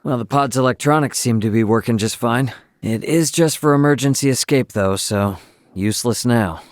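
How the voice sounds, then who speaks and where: An actor with an American accent playing a brash, easygoing spacefaring hero in a video game, with a cocky, slangy, joking delivery. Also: male voice, mid-range voice, normal speed, no echo, studio quality, close to an everyday voice. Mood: casual, musing. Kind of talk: video game voice lines, battle barks and chatter.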